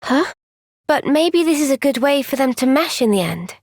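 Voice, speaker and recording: An actress playing a young soldier heroine in a video game, earnest, gentle and resolute, speaking with soft, measured sincerity. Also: female voice, sits high, sing-song, no echo, clean studio sound. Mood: thoughtful, earnest. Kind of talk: video game cutscene voice acting.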